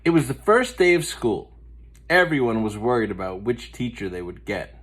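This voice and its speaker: A man reads a children's picture book aloud like a teacher to a class. His mid-pitched voice moves up and down in an animated, expressive storytelling style. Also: normal speed.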